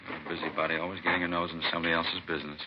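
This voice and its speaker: Actor as a low-voiced, evasive crime suspect. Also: male voice, little pitch movement, rapid-fire, close to an everyday voice. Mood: defensive, wary. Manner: defensive, evasive. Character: evasive, nervous male robbery suspect, defensive and pleading. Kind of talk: 1950s radio crime drama acting. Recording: dull, noisy vintage radio recording.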